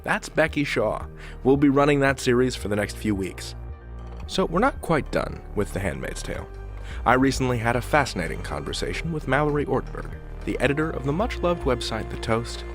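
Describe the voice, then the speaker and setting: A man hosting a podcast with scripted narration, wry and speaking directly to the listener. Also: mid-range voice. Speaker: podcast host.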